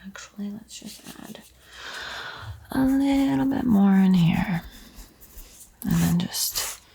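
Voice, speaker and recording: A woman whispering an ASMR painting tutorial in a breathy, soothing, gently encouraging way. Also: medium pitch, expressive, slow. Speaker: art tutorial host.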